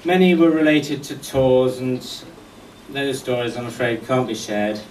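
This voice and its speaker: A middle-aged man giving a eulogy as a speech of humorous anecdotes, told in a wry, unhurried way. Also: medium pitch, fairly even intonation, a little mellow. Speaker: speaker giving a memorial tribute. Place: indoor function venue at a golf club, before an audience. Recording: echoey room, distant mic, faint noise.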